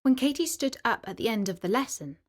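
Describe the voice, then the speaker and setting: Expressive woman reading a children's story. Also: on the high side, brisk.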